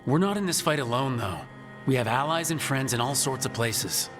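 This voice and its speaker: An actor playing a pragmatic male team leader in a video game, measured and reassuring. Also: mid-range voice, animated, lightly acted. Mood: resolute. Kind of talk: video game dialogue acting. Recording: long hall reverb, music underneath.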